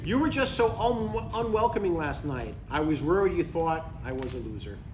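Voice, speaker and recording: A man's voice: on the high side, animated, normal speed, close to an everyday voice. A man in his sixties playing an earnest, stubborn father who gets defensive, in a live comedy table read. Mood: defensive, hurt. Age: 60s. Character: earnest, stubborn sixty-two-year-old father chasing a writing career. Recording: echoey live room, distant mic, faint noise.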